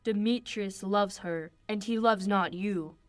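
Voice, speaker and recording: A woman's voice: medium pitch, measured pace, dry recording, natural voice. A teenage woman playing an ardent young male suitor, delivering verse earnestly in an amateur Shakespeare radio play. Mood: earnest, pleading. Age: late teens.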